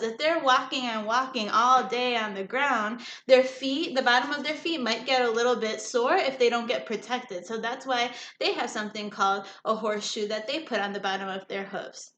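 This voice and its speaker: A woman with a fairly high voice reads aloud as a teacher to young children. She explains things simply and checks in with questions, in an echoey room with faint background noise. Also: pushed and bright. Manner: instructive, checks understanding often.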